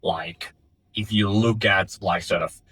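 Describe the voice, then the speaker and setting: A man's voice: sits low, fairly even intonation, takes it slowly, pushed and bright, husky, no room sound. A young man from Finland, a startup founder, answering interview questions in a thoughtful way with many fillers and restarts. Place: office in Finland.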